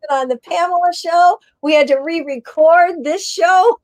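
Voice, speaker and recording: A woman's voice: extremely high pitch, moderate pace, a little mellow. A woman hosting an interview podcast, speaking in an enthusiastic, effusive way. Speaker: podcast host.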